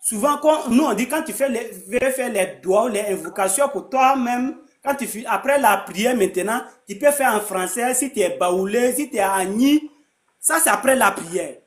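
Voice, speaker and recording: A man explaining his faith in a casual conversation, halting and repeating himself often. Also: extremely high pitch, expressive. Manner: halting, repeats words, many restarts.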